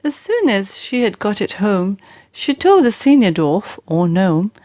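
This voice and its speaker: A woman reading children's verse aloud in an expressive, theatrical, deliberate storytelling style with emphatic delivery. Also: medium pitch. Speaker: audiobook reader.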